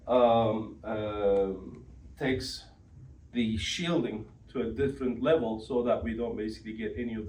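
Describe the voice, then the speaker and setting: A man giving an informal product presentation as an exhibitor, with a halting delivery and frequent throat clearing, recorded in an indoor demo room. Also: medium pitch, darkish tone. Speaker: exhibitor presenting an audio system. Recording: roomy, distant mic, faint background noise.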